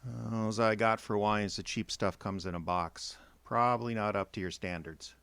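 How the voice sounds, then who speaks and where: A man's voice: lowish voice, steady tone, normal speed, creaky, a bit bright, clear, resonant tone, no echo. A man playing a worried, kindly small-town bartender in a horror audio drama, folksy and a little hesitant. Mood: resigned.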